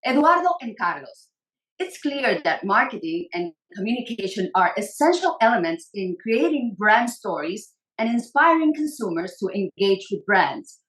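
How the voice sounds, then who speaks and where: A woman moderates a webinar panel and reads out prepared questions clearly. Her pitch moves in a lively, animated way, and the sound is roomy.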